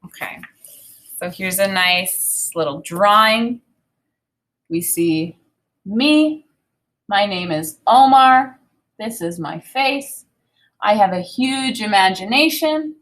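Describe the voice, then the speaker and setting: A woman in her thirties teaching a read-aloud lesson, enthusiastic and expressive, thinking out loud in a conversational way. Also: mid-range voice, takes it slowly, long pauses. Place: small home room, indoors.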